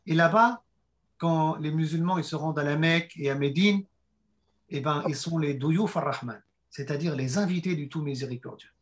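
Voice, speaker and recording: A hoarse man giving an earnest fundraising presentation, halting with frequent fillers and restarts. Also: a little high. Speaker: project presenter.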